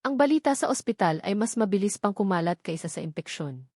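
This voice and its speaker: A woman delivers scripted, dramatic story narration. Her voice sits at an average pitch, and the recording is dry, with very little room sound. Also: lively intonation, brisk, a little mellow, husky, no echo.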